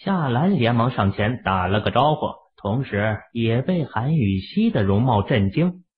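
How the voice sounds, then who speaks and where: A man's voice: medium pitch, sing-song, unhurried. A man narrating a novel as a scripted, dramatic audiobook reading. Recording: tinny, narrow-band phone-like audio.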